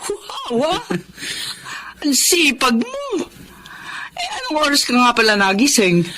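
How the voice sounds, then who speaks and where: A woman playing a stern, hot-tempered middle-aged mother-in-law in a Filipino radio drama, with a Filipino accent and an expressive delivery. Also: sits high, slow, background noise, full character voice. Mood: surprised, softening.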